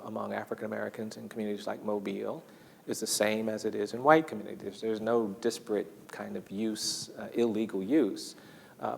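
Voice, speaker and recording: A man giving measured, explanatory interview commentary, deliberate and emphatic in his delivery. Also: fairly low pitch, creaky, big-room sound, a little hiss or hum. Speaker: documentary interviewee.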